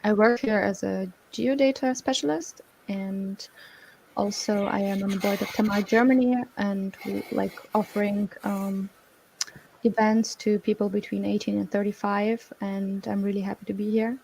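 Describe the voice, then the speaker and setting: A woman from Russia, a youth community board member, introducing herself haltingly with fillers. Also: medium pitch, fairly even intonation, vocal fry, darkish tone, husky, low-quality recording.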